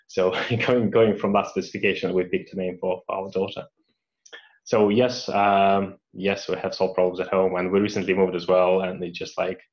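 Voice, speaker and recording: Low, flat-voiced engineer. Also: male voice. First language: Russian. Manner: hesitant, many restarts and fillers. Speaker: mechanical engineer. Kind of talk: answering read-out questions in a career Q&A. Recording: dull lo-fi audio.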